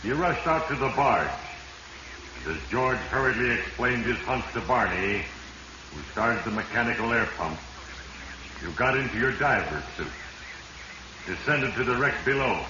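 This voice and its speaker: A man's voice: mid-range voice, expressive, moderate pace, pauses often, close to an everyday voice. A male actor playing an ominous voice of fate, narrating a 1940s radio drama solemnly in an American accent. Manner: solemn, ominous second-person storytelling. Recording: muffled, noisy 1940s radio recording, echoey.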